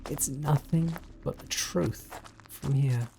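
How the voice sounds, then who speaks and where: A man with a British accent playing an obsessive, bitter spurned stalker in a horror audio roleplay, speaking in a deliberate, coldly taunting way. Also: medium pitch, gravelly, heavy background noise. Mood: resentful, wounded.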